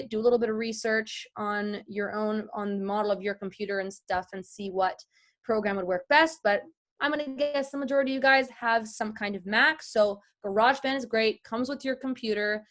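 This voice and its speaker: A woman giving a chatty, encouraging, informal lesson as a voice actor teaching an online class over a video call from a home office. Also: fairly high pitch. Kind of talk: casual instructional talk. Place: at home, large office with wooden walls. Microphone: computer video call.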